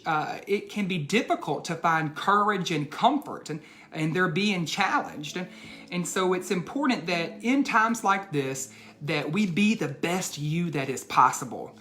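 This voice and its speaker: A man hosting a read-aloud for children, speaking directly to young listeners with deliberate, emphatic phrasing. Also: sits high, pushed and bright.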